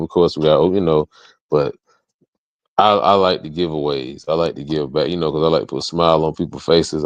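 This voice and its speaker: A man's voice: a bass voice, a little mellow, dry recording. A man talking casually as an interview guest, in slangy speech peppered with "you know" and "man". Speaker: interview guest, DJ and event promoter.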